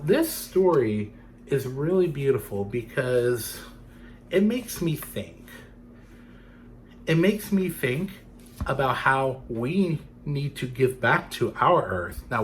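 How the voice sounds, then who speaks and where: A man's voice: mid-range voice, very animated. Man reading a children's story, faint background noise. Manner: dramatic, deliberate phrasing, addressing young listeners. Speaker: storyteller for children's theatre series.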